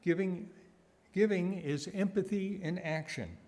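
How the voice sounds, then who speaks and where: A man delivering a prepared sermon with wry asides, phrasing deliberately and repeating words for emphasis. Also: high voice, a little mellow. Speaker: guest speaker giving a sermon, nonprofit founder.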